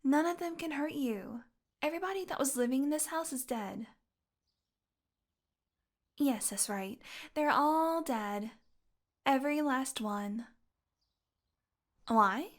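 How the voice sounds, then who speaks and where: High-voiced, sweetly menacing fantasy healer woman acting. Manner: condescending, teasing, coaxing, with sighs and chuckles. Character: possessive female fantasy healer, sweetly menacing, teasing and domineering. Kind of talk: fantasy audio roleplay spoken to the listener.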